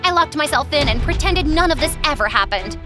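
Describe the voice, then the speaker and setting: Woman as a high-pitched, excitable teenage storyteller. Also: fairly quick. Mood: chatty, exasperated. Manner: dramatic, chatty, exaggerated. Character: excitable, cheeky teenage girl narrating her pop idol life. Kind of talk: animated first-person storytime narration. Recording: music under the voice.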